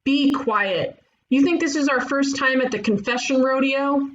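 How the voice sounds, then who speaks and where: A woman's voice: high-pitched, unhurried. A woman playing a feisty, bossy young detective in a cartoon mystery, brash and commanding, on a dull lo-fi mic. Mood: indignant, commanding. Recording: dull, lo-fi mic with faint noise.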